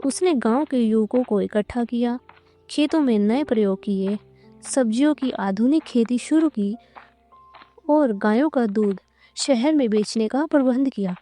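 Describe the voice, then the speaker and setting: Female narrator for cartoon stories: warm, rounded tone, a little high, scripted delivery. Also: measured pace, faint music behind.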